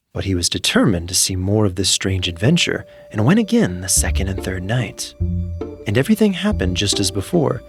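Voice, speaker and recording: A man's voice: sits low, husky, no echo. A man narrating a scripted fairy tale as a storyteller, reading in full literary sentences. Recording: music behind the voice. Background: background music.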